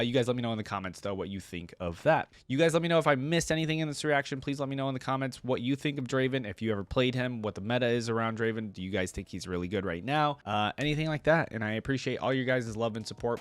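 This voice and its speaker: A man's voice: medium pitch, fast, few pauses, no echo. A man giving casual, conversational reaction commentary to viewers, thinking aloud in a rambling way. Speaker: video creator reacting to game lore.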